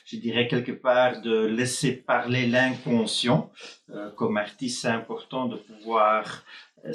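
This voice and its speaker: A man whose first language is Dutch, speaking with a Flemish accent as a hypnotist in a casual live conversation, pausing and restarting often. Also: medium pitch, roomy sound, slight background noise. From Flanders, Belgium. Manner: hesitant, many pauses and restarts.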